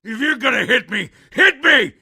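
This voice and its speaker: Casting: brash game henchman, high piercing rasp, blustering and strained, cocky street tough. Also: animated, normal speed, bright, forceful tone, no echo, studio quality, heavily acted. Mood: defiant. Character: defiant, cocky criminal thug breaking into panic. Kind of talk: video game voice acting, interrogation lines.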